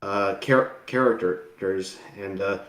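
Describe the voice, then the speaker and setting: A man helping with the story, talking haltingly on a group call with many restarts. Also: deep voice, a bit bright. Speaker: story consultant. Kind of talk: casual chat on a group call. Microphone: video call. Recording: roomy, far from the mic.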